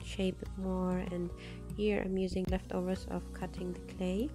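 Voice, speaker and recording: A woman with a deep, husky voice, hoarse from a cold, explaining each step of a craft tutorial, with small slips. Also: little pitch movement, normal speed, music in the background. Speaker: craft channel host.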